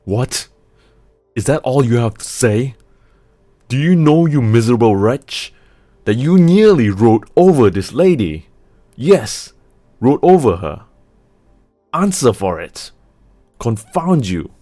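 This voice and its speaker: A man reading aloud and voicing a hot-tempered young nobleman who bursts out in loud, indignant rebukes. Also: mid-range voice, sing-song, slow, long pauses, faint music behind, pitched above the performer's own voice. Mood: furious. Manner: forceful, indignant outbursts.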